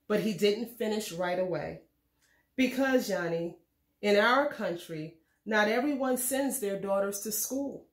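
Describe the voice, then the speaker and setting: A woman reading a children's storybook aloud in a measured, expressive way. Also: medium pitch, echoey room. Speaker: read-aloud host reading a children's book. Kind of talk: scripted storybook narration for children.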